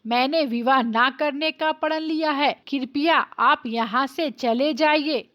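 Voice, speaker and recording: A woman's voice: high voice, slow pace, a bit bright. A woman voicing a stern young male god of meditation in a mythological story, speaking formally and firmly. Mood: firm refusal. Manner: formal, firm.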